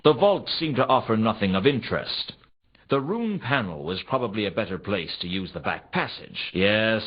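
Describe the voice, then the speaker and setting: Low, deadpan noir private detective. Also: male voice, slightly rough. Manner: deadpan, sardonic, measured musing. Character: weary, wry male private detective in noir fantasy. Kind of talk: scripted noir first-person narration for a video game. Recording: slightly dull, compressed game audio.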